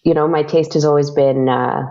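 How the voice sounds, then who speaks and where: A woman being interviewed about her podcast, talking hesitantly with many pauses. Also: sits low, fairly even intonation, dark tone, muffled recording. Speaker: guest, audio drama podcaster. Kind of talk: casual interview chat.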